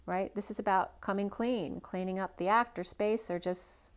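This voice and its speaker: A woman's voice: mid-range voice, fairly even intonation, moderate pace, clean tone. A woman giving a general tarot reading for a star sign. She rambles loosely, stacks up one possibility after another and thinks aloud with frequent "right?" asides. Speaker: tarot reader.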